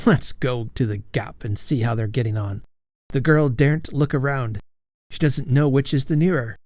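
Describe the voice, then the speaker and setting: A man's voice: medium pitch, normal speed, darkish tone, no echo, lightly acted. A man voicing Sir Hugo, a blustering, chivalrous old male ghost knight who growls his lines. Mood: gruff. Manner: growling, gruff.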